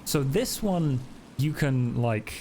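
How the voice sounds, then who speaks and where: A man improvising narration as game master of a tabletop roleplaying game, in a halting, descriptive way. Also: medium pitch, expressive, warm tone, a little hiss or hum.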